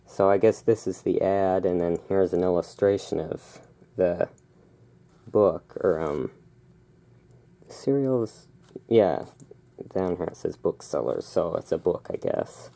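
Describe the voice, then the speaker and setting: A host giving a relaxed ASMR read-aloud, drifting into casual, musing asides. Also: deep voice, takes it slowly, pauses often. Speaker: host reading and commenting on a magazine.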